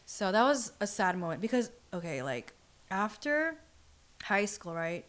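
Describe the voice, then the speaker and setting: A young adult woman, telling personal stories in a casual, animated, slangy way. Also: medium pitch, measured pace. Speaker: podcast guest. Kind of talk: casual chat, personal storytelling.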